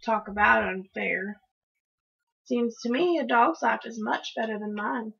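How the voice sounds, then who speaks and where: A woman's voice: a little high, unhurried, gravelly, fairly bright tone, breathy edge, lo-fi sound. A woman reading a children's story aloud, narrating expressively in the first person. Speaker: storybook reader.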